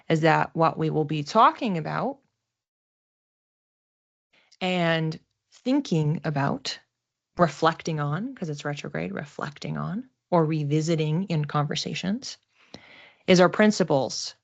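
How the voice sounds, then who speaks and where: A woman teaching a class in an informal, conversational way, explaining point by point and often setting out short listed phrases. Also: on the low side. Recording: slightly dull, lo-fi audio.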